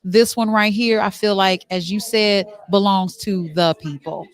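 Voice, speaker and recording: A woman's voice: medium pitch, steady tone, a little slow, clean tone. A woman hosting a show, conducting a casual interview on location in a conversational way. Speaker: talk show host interviewing.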